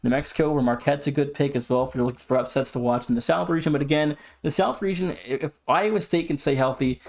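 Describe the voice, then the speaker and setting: A man's voice: on the high side, fast talker, darkish tone. A man co-hosting a sports podcast, commenting casually with an announcer-like, emphatic way of repeating himself. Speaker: podcast co-host.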